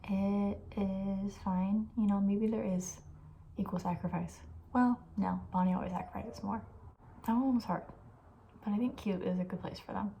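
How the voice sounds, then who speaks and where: A soft-spoken woman host chatting casually in an ASMR style, often near a whisper, informal and thinking aloud. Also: medium pitch, fairly even intonation, normal speed, gravelly, a little hiss or hum, poor audio.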